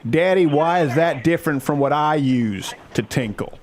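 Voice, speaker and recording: A man's voice: a little high, animated, normal speed, crowd noise, natural voice. A man voicing a curious, innocent nine-year-old daughter with childlike questioning, in a comic prank call. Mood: innocent, puzzled.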